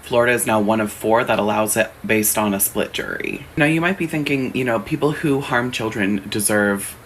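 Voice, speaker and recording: A man's voice: fairly low pitch, moderate pace, a bit bright, slight background noise. A man giving scripted commentary in an earnest, explanatory way. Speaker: video commentator in a played clip. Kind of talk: scripted social media commentary.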